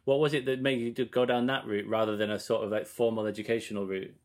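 A man's voice: lowish voice, steady tone, quick pace. A man hosting a conversational interview, putting his questions in a tentative, meandering way. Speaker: podcast host, interviewer.

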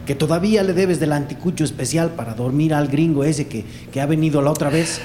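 An echoey, reverberant recording carries a dim Bolivian henchman played by a man in a radio drama. His slightly high voice is deferential, flustered and eager to please, and he takes everything literally. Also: fairly quick, dark tone, close to an everyday voice. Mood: flustered, defensive. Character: servile, dim, eager male henchman of crime boss. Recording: echoey, reverberant space with faint noise.

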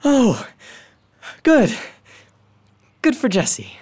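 A man's voice: very high-pitched, huge pitch swings, extremely slow, darkish tone, slightly rough, exaggerated voice. A young man acting a guarded son in a radio drama. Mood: anxious.

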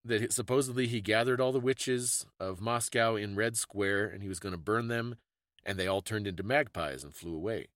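A man's voice: on the low side, moderate pace, a bit bright, no room sound, clean studio sound. A man co-hosting a podcast, talking casually about history in a bookish, explanatory way. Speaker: podcast co-host. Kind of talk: casual conversational history discussion.

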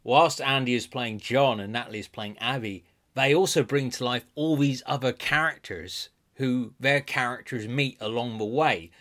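A man's voice: mid-range voice, moderate pace, hardly any pauses, a bit bright. A man explaining a project as its producer in a promotional interview. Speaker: podcast producer. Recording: clear music behind the voice. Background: plucked guitar music.

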